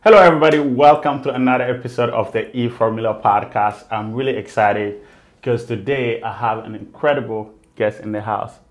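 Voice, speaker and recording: A man hosts a podcast with an eager, chatty delivery at a middle pitch. He welcomes his guest with energy and laughs easily between questions. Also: a little fast. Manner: enthusiastic, chatty, laughs often. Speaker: podcast host. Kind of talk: casual interview hosting.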